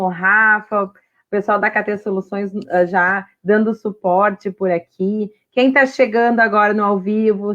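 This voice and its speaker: Woman, Brazilian accent, medium pitch, casual online host, chatty tag questions, muffled lo-fi recording. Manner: conversational, frequent tag questions. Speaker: host of an online women's talk series. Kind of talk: casual livestream interview talk.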